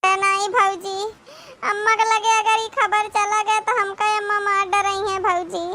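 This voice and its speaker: Squeaky, whiny Awadhi village girl. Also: flat delivery, a little slow, sharp tone, a little hiss or hum, heavily acted. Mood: desperate pleading. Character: whining, frightened young village girl in an Awadhi comedy cartoon. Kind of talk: melodramatic comedy cartoon dialogue.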